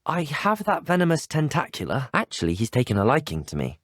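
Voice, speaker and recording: A man's voice: mid-range voice, wildly swooping pitch, a little fast, fairly bright tone, no room sound, studio quality. A young man with a British accent playing a polite, curious, brave teenage student wizard in a video game. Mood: courteous, earnest. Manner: polite, well-spoken, formal. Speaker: video game player character. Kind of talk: scripted video game dialogue.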